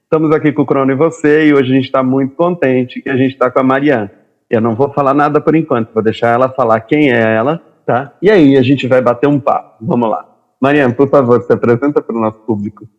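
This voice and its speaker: A male host at an average pitch, opening the chat and asking questions in a loose, friendly way. His questions wander and are full of fillers. The audio is slightly dull and lo-fi. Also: steady tone, fairly quick. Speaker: interview host. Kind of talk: casual interview chat. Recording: dull lo-fi sound.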